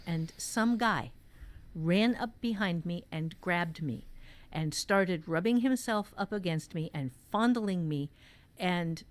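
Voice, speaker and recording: A woman hosting a podcast workshop, talking informally and candidly, telling personal stories emphatically and swearing freely. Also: mid-range voice. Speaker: podcast host and workshop teacher. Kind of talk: casual workshop chat and personal storytelling.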